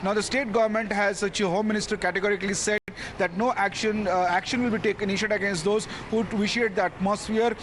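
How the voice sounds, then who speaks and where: A man with an Indian accent giving a live news report, halting and repeating himself as he goes. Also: high-pitched, fairly even intonation, fairly bright tone, a little hiss or hum. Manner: halting, repetitive phrasing.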